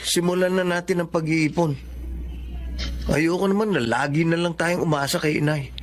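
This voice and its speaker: A man plays a responsible, earnest young Filipino husband in a radio-style drama. His voice is fairly high, with a Filipino accent. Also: steady tone, normal speed, faint music behind, close to an everyday voice. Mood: hopeful.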